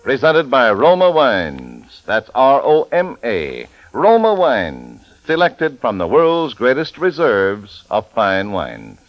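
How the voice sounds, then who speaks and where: A man's voice: mid-range voice, wildly swooping pitch, takes it slowly, hardly any pauses, faint music behind, poor audio. An American man announcing in a formal, polished 1940s radio style, selling for a sponsor on a vintage recording. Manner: formal, polished sales delivery.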